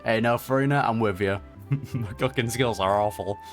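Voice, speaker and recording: A man's voice: medium pitch, normal speed, soft background music. A man giving casual, off-the-cuff reactions to a video game in an informal, slangy, chatty way. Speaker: gaming reaction streamer.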